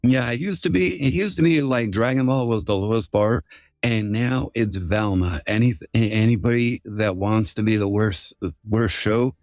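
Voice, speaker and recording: A man's voice: lowish voice, moderate pace, darkish tone, no room sound. A man hosting a casual livestream, chatting informally with some swearing and often repeating his phrases. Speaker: livestream host.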